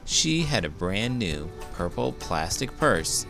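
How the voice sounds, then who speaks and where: A man reading a children's storybook aloud in a theatrical, expressive way, full of big emotion. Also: lowish voice, slow pace, creaky, over music. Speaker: host, children's book reader. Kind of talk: animated storybook read-aloud.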